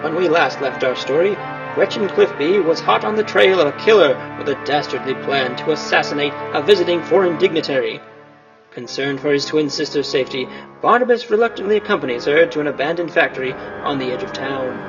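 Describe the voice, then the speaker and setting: A man's voice: a little high, fairly quick. Dramatic old-time radio announcer man. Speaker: announcer and narrator. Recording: dull lo-fi sound with faint music.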